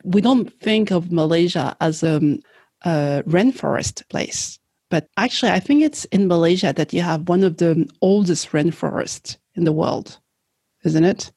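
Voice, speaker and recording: A woman's voice: lowish voice, a little slow, mellow, husky, dry recording. Dry-sounding woman host. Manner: attentive, encouraging listener. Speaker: podcast host. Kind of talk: scripted intro and interview.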